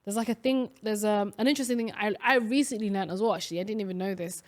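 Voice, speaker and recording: A woman's voice: medium pitch, fairly even intonation, fast. A young woman from Somalia co-hosting a casual podcast chat, talking in a chatty way with many fillers and restarts. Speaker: podcast co-host.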